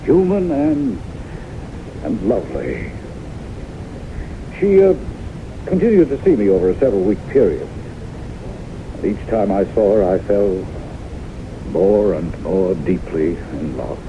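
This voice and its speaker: An actor playing a rational psychiatrist who is lovestruck, tender and insistent, in radio drama style. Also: male voice, low voice, expressive, a little slow, long pauses. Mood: smitten. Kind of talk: 1970s radio drama acting. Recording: muffled, noisy old radio broadcast.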